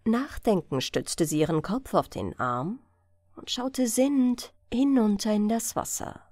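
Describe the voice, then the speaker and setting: A woman narrating a bedtime fairy tale as an audiobook reader, with gentle, measured delivery. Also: mid-range voice, animated, no echo, studio quality.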